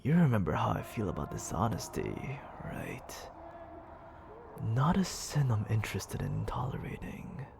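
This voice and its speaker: A performer acting a suave, haughty demon lord in an ASMR roleplay, speaking theatrically and often dropping into a breathy whisper, close to the mic. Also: medium pitch, very animated, a little slow. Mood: skeptical, scornful.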